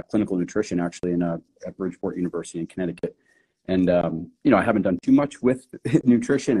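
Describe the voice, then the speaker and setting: A man from New Hampshire, a guest strength coach telling his background in a relaxed, easygoing way. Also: low voice, breathy edge, rough recording. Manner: relaxed storytelling, easy laughs. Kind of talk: casual interview answers.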